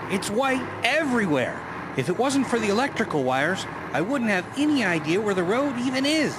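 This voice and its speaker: A man's voice: high voice, big pitch swings, moderate pace. A man playing a snowplow driver reporting on the roads in a historical radio drama. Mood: strained. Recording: noisy, with outdoor vehicle sound effects.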